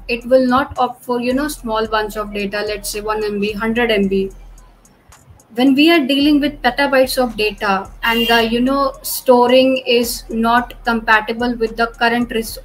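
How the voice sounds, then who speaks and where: A woman's voice: fairly high pitch, fairly bright tone, slight background noise, low-quality recording. A young woman presenting a cloud tutorial over screen share, explaining patiently and leaning on "okay" and "you know" between steps. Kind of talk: screen-share technical walkthrough.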